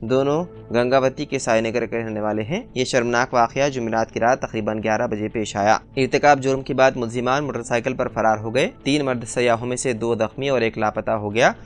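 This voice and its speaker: Male news narrator, mid-range pitch, formal diction, reading scripted bulletin copy. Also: steady tone, brisk, hardly any pauses, a little hiss or hum. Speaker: news reader.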